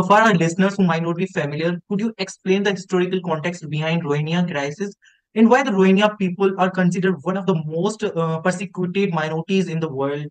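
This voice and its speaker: A male podcast host putting formal, carefully framed questions to his guest. He hesitates with frequent fillers. His voice is fairly high and the sound is dry and close. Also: no echo, rough recording. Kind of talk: interview questions.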